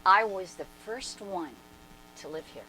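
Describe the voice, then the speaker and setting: A woman's voice: mid-range voice, animated, measured pace, sharp tone, a little hiss or hum, rough recording. A woman performing a dramatic reading as a brash, independent-minded woman recalling her past in emphatic, conversational asides. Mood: candid, independent. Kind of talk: dramatic reading, animated first-person storytelling.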